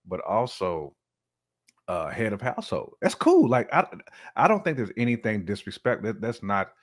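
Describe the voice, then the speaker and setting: A man with a fairly low-pitched voice speaks in an informal discussion. He shares personal opinions conversationally, often repeating words to stress a point. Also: huge pitch swings, normal speed.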